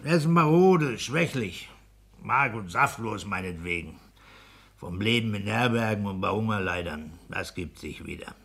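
Ein Mann spielt in einem Hörspiel der sechziger Jahre einen polternden, herrischen, pedantischen kleinbürgerlichen Ehemann und Beamten. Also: male voice, on the low side, expressive, a little slow, gravelly, fairly bright tone, slightly rough, exaggerated voice. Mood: scolding, domineering. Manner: pedantic, domineering, emphatic. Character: blustering, bullying petty-bourgeois husband and civil servant. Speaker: radio play actor. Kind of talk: 1960s German radio play acting.

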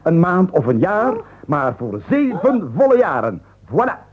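Husky, mock-courtly Flemish husband. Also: male voice, high-pitched, wildly swooping pitch, a little slow, phone-quality sound, full character voice. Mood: mock-solemn, triumphant. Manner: florid, mock-formal, teasing. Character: gleeful, scheming husband, mockingly courtly and sugary. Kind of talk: comic radio play acting. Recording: band-limited 1980s radio play.

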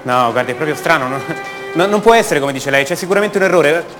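A man's voice: a little high, animated, a bit bright, soft background music. An actor playing a restless, incredulous ordinary man confiding his doubts in a conversational radio theatre monologue. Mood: incredulous, flustered. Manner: conversational, halting repetitions.

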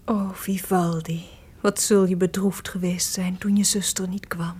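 A woman's voice: on the low side, level tone, unhurried, a little mellow, breathy edge. An actress playing a proud, sorrowful captive young noblewoman in a formal, theatrical radio play, solemn and declamatory.

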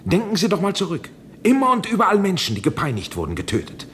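An actor playing an agonized, self-justifying older scientist who speaks with urgent emphasis in a 1970s German radio play. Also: male voice, high-pitched, huge pitch swings, fast, slight background noise. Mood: urgent, impassioned.

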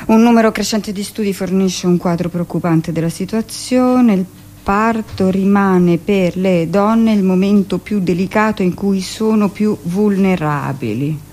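A woman performing a measured, deliberate dramatic reading of a formal declaration. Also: mid-range voice, warm tone. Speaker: stage and radio performer.

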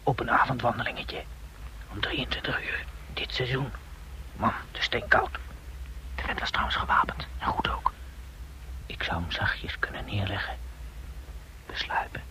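A man in a radio drama playing a wary soldier on a night stakeout, speaking in a hushed whisper. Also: medium pitch, normal speed, pauses often, low-quality recording.

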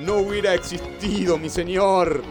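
Actor as a high-voiced, deferential male subject. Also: steady tone, normal speed, music in the background, natural voice. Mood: relieved, deferential. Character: deferential male subject addressing his lord. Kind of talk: radio drama acting.

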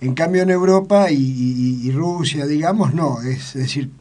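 An older man with an Argentine Spanish accent whose first language was Italian, telling his family story in a radio interview with many pauses and repeated words. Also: fairly high pitch, warm tone. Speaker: interview guest.

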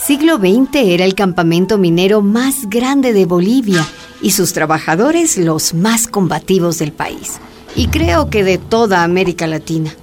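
A woman's voice: lowish voice, a little mellow. A woman playing a courteous, inquisitive journalist who narrates a radio drama in a polite storytelling manner.

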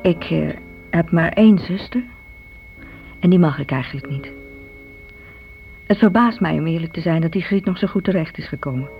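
A woman's voice: lowish voice, normal speed, vocal fry, long pauses, soft background music. A woman playing a blunt, resentful elder sister in her thirties in a radio play, speaking with frank disdain. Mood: disdainful. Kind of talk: radio play monologue, confiding. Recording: muffled old radio recording, faint hiss.

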